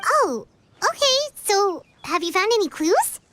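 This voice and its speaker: A woman voicing a small, eager childlike fairy companion with a squeaky voice in a video game. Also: very animated, a little slow, noisy background, full character voice. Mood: curious.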